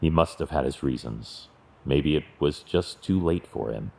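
A masked crime-fighter from an audio drama, played by a man. His voice sits extremely low. He is brooding and guarded, giving terse, restrained replies. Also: expressive, a little slow, vocal fry, darkish tone, exaggerated voice. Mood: stoic, guarded.

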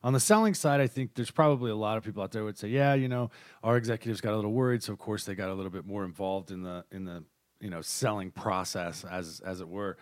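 A man's voice: fairly low pitch, fast, dry recording. Conversational male podcast host, close dry sound. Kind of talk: conversational interview hosting.

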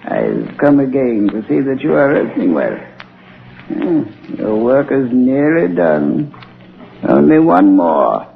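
An actor playing a crazed, vengeful and servile old watchman in a 1930s radio thriller, halting and given to cackling. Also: mid-range voice, wildly swooping pitch, gravelly, exaggerated voice. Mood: gloating, sinister. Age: older. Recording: muffled 1930s radio recording.

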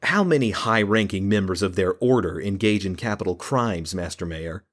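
A man's voice: on the low side, flat delivery, fairly quick, natural voice. A man voicing a scornful, hot-tempered young male constable. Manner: scornful, irritated.

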